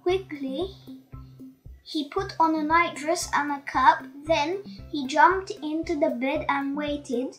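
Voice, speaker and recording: A woman reading a children's bedtime story aloud with slow, deliberate enunciation and hosting a quiz on it. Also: high-pitched, soft background music.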